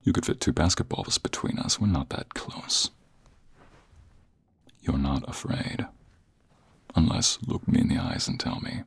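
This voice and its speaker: A man acts out a cocky, flirtatious office rival for an ASMR roleplay. His voice is extremely deep and very husky, often sinking toward a breathy whisper. He takes his time, drawing out each taunt slowly and deliberately. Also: exaggerated voice. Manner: slow, deliberate, taunting, drawn-out phrases. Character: smug suited office rival, teasing, aggressively flirting. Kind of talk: ASMR romantic roleplay, intimate second-person acting.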